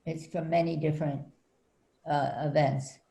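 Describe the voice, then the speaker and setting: A woman interviewing an artist, asking halting, searching questions. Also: deep voice, flat delivery, creaky, warm tone. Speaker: interviewer, editor at large. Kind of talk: conversational interview.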